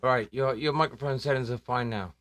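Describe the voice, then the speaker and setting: A man's voice: mid-range voice, fairly quick, very noisy. A man hosting a casual live stream, joking and self-deprecating, swearing now and then. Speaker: livestream host. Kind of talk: casual livestream chat.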